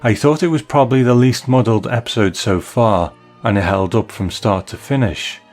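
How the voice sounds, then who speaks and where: A man hosting a podcast, reading a listener's email aloud in a measured, articulate way. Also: medium pitch, darkish tone, soft background music.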